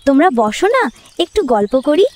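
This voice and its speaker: A woman plays an innocent little she-goat in a cartoon. The voice is high and lively, with a breezy, trusting, cheerful manner. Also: a little fast. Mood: carefree. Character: innocent, cheerful young female goat, carefree. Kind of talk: children's cartoon story dialogue.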